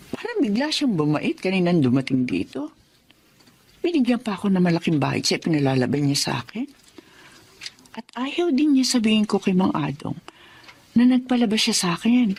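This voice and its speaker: A woman's voice: medium pitch, normal speed, pauses often, breathy edge. An actress playing a middle-aged mother who takes in laundry, curious and caring, in a Filipino radio-style drama. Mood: puzzled. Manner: expressive, rising to alarmed exclamations.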